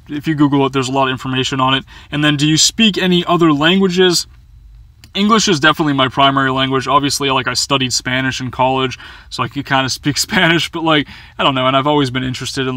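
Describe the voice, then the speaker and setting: Young male vlogger in a small van, bright voice and a dry close sound, chatty with many fillers and restarts. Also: fairly high pitch, no echo. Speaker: van-life vlogger answering viewer questions. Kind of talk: casual Q&A chat. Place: inside a small camper van.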